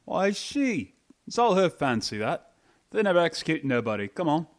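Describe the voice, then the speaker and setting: A man voicing an impatient griffin in a storybook radio play, with rough, folksy, uneducated speech. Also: on the high side, very animated, moderate pace, faint music behind. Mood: scornful, breezy. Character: gruff, familiar, impatient male griffin, half lion half eagle.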